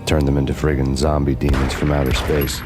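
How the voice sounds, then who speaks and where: Guy as a super deep flat noir detective, bitter and dry, music loud behind. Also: a little fast. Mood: brooding. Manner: hard-boiled noir narration, dry and bitter. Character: brooding male cop narrating noir. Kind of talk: scripted noir voice-over.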